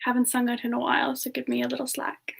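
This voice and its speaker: A woman playing a playful, gentle female demon character, soft-spoken and giggly in a casual semi-ASMR live chat. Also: sits high, monotone, fast talker, creaky, slightly rough, full character voice. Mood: playful, relaxed. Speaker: streamer answering chat and taking song requests.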